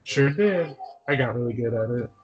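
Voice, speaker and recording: A man's voice: medium pitch, animated, moderate pace, slightly rough, highs cut off. A man who is a podcast guest in casual chat, frank and self-mocking, swearing as he talks.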